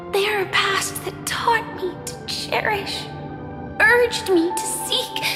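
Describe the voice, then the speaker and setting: An actress playing a gentle but resolute young woman warrior in a game, speaking with measured, earnest hope. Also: very high voice, full character voice. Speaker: voice actor in a game cutscene. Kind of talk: scripted dramatic game dialogue. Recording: long reverb under clear music.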